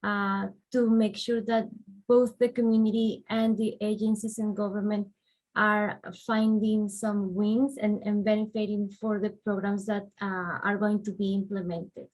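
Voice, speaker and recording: A woman from Colombia with a Colombian accent, a guest in an interview, explaining her work thoughtfully at length with soft fillers. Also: mid-range voice, flat delivery, a little slow, rough recording. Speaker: guest, director of refugee and immigrant services. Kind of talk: conversational interview answers.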